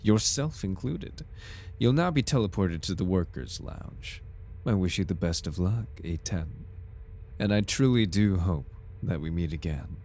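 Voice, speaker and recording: A man playing a smooth-talking, flirtatious and faintly sinister corporate advisor in a formal, theatrical ASMR roleplay. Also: low voice, lively intonation, measured pace, darkish tone. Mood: slick reassurance. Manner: theatrical, formal, silky salesman politeness. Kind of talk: ASMR roleplay, scripted in-character monologue.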